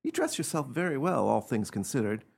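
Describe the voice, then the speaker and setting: A man acting in a radio play as a nervous, imperious gentleman living in terror, theatrical and fretful. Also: animated, fairly quick, creaky, husky. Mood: breezy, teasing. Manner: theatrical, high-strung, fretful.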